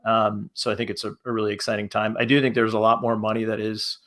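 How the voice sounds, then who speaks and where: A man talking casually as a panelist, in a halting manner that circles back over his phrases. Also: lowish voice, flat delivery, fairly bright tone. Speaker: panelist, podcast creator.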